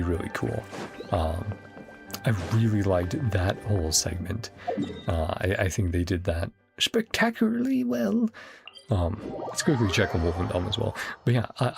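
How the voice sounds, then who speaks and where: Male streamer, low gravelly voice, enthusiastic off-the-cuff reaction commentary over game music. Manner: rambling, thinks aloud. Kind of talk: casual chatty reaction commentary.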